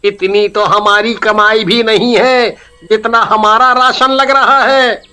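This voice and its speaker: A man voicing anxious male villagers in a cartoon, complaining loudly about their vanishing food. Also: high-pitched, fairly even intonation, slow pace, clear, resonant tone, full character voice. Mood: fretful. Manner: loud, complaining. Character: worried male villagers, loud and fretful.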